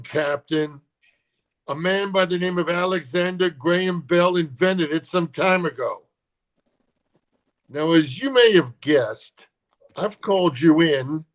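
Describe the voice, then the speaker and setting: Man as imperious Southern railroad tycoon, higher-pitched. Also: close to an everyday voice. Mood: commanding. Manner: imperious, contemptuous, speechifying. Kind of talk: dramatic table read of a western.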